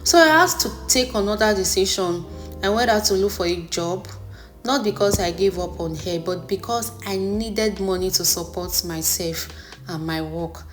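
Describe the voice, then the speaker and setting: A woman's voice: medium pitch, normal speed. A woman in a mid, everyday register recounts her own struggles in an earnest, encouraging voice-over. She leans on repetition to press her points home. Faint music plays beneath her voice. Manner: earnest, encouraging, repeats phrases for emphasis. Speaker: wig maker vlogger. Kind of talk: personal story voice-over.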